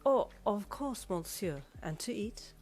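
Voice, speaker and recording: A woman's voice: mid-range voice, lively intonation, slow, a little mellow, faint music behind, voice pushed up. A woman voicing a pompous, formal male waiter. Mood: pompous, polite.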